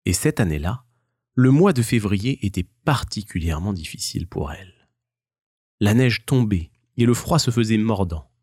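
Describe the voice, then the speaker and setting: A man narrating a scripted children's story as an expressive storyteller. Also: lowish voice, moderate pace, breathy edge, no echo, clean studio sound.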